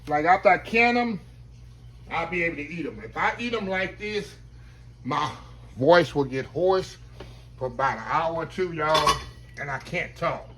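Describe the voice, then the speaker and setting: A man, chatting to viewers as he cooks in his home kitchen, his voice slightly hoarse. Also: a little high, expressive, unhurried, a bit bright, a little hiss or hum. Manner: chatty, keeps addressing viewers as y'all. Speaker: home cook. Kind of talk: casual cooking demonstration.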